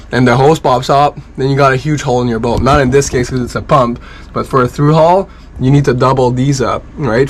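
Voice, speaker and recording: A man's voice: medium pitch, slight background noise. French-native man explaining boat work. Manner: relaxed, jokey, explains step by step. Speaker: boat owner and vlogger. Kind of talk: casual how-to talk while working. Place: on a sailboat, working in tight bilge area.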